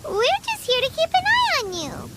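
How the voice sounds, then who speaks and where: A woman's voice: very high voice, wildly swooping pitch, moderate pace, very noisy, heavily acted. A woman voicing a tiny floating fairy-like companion who talks about herself in the third person, cheeky and blunt. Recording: game soundtrack with music behind. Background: music.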